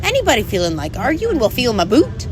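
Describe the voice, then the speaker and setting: A reader playing a small, scarred, bearded male pixie chieftain, excitable and quavering, in a storybook reading. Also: mid-range voice, a little fast, pitched above the performer's own voice. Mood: loud, desperate. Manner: exclaiming, quavering, dialect-speaking.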